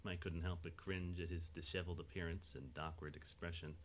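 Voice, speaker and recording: A low-voiced man narrates a story from a script. He reads as the narrator of an audio drama, with faint noise in the recording. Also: level tone, a little fast.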